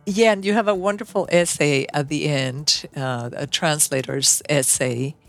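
An interviewer asking warm, appreciative questions in easy conversation. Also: medium pitch, measured pace, gravelly, faint music behind.

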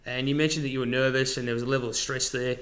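A man's voice: medium pitch, fairly even intonation, rapid-fire, a bit bright. Man asking probing interview questions. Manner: probing, conversational. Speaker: podcast host.

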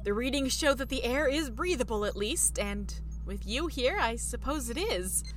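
A woman playing an injured, curious young spaceship crew member in an audio drama, with a halting, emotive delivery. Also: on the high side, animated, music in the background, lightly acted. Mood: dazed, curious.

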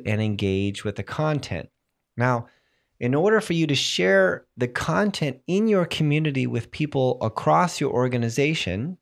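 A man hosting a solo tech podcast, explaining software settings while fighting a cold, clearing his throat now and then. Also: mid-range voice. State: has a cold, voice strained. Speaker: podcast host.

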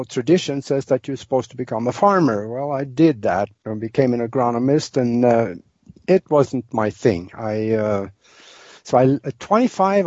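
A man's voice: mid-range voice, darkish tone, no room sound. An older man from Norway with a Norwegian accent, telling his life story as an interview guest, rambling with many ums and uhs. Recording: dull lo-fi sound.

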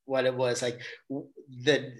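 A man's voice: medium pitch, flat delivery, unhurried, rough recording. Rambling middle-aged man explaining his theater work. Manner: rambling, restarts often, laughs mid-sentence. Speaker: guest, theater company facilitator. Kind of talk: casual interview talk.

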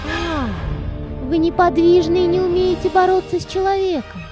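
A woman's voice: extremely high pitch, moderate pace, over music. A woman playing a sly, scheming cat with an insinuating, theatrical manner in a children's audio play. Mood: urgent, scheming. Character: sly, scheming female cat, spiteful and coaxing.

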